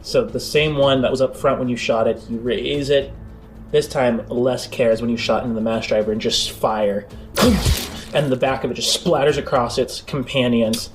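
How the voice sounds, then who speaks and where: A man improvising halting, descriptive narration as the game master of a tabletop role-playing game. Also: mid-range voice, steady tone. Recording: music bed under the voice. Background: background music.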